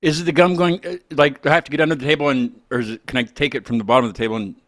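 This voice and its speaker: A man's voice: mid-range voice, fairly even intonation, rapid-fire, dry recording, clean studio sound. A man hosting a casual chat, joking and rambling as he goes. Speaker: podcast host interviewing a band.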